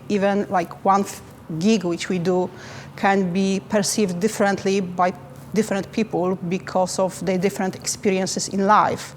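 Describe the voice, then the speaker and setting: A woman with a Polish accent answers interview questions in a careful, halting way. Her voice stays level and even, with little rise or fall. A faint hum of background noise sits under her speech. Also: medium pitch. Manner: careful, halting, non-native phrasing. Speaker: finance manager.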